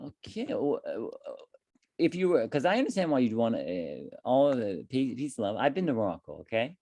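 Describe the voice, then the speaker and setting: A man's voice: mid-range voice, normal speed, no echo. A man, an English teacher chatting casually with his student and asking lots of questions. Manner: chatty, repeats and restarts, lively rising questions.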